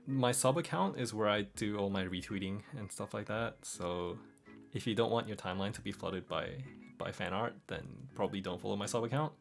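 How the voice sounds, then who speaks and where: A young man with a mixed Australian and American accent, chatting casually as a streamer reading viewer comments, relaxed and quick to laugh, over faint background music. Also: fairly low pitch, gravelly. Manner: relaxed, laughs often, trails off softly. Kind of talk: casual late-night chat reading comments.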